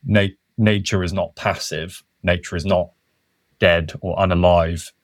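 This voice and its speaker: Low-voiced reflective man. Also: steady tone, unhurried, no echo, clean studio sound. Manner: reflective, thinks aloud with restarts. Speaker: podcast guest, conservation worker. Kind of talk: casual conversational interview.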